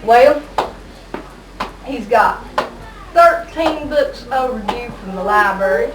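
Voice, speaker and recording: A woman performing an amateur comic skit monologue in a halting way, stumbling over her lines. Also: on the high side, animated. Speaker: amateur puppet show performer. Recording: distant noisy echoey home video.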